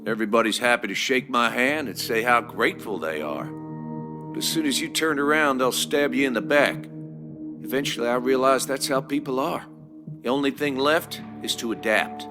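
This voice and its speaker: An actor playing a cold, corrupt small-town villain with a folksy, threatening manner in a video game. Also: male voice, mid-range voice, lightly acted.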